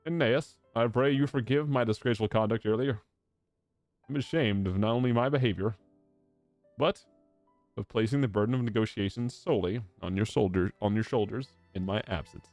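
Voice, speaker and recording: A man's voice: on the low side, darkish tone, no echo, close to an everyday voice. Man reading as a formal, earnest fantasy statesman. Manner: formal, measured, declamatory. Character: formal, earnest statesman addressing his war-weary nation. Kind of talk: reading fantasy game dialogue aloud. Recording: game music playing behind the voice.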